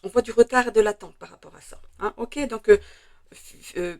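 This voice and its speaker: Slightly hoarse French woman reading tarot. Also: fairly high pitch, moderate pace. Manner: chatty, hesitant with many fillers, laughs at jumping cards. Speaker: tarot card reader. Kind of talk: casual weekly tarot reading.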